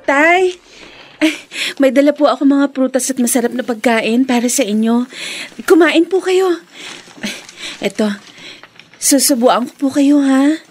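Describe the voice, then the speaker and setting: An actress playing a dutiful young daughter weighed down by shame, tender and earnest, in Filipino radio drama style. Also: female voice, sits high, a little slow, long pauses. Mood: tender, caring. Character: young woman, dutiful loving daughter, weary bar worker burdened by shame.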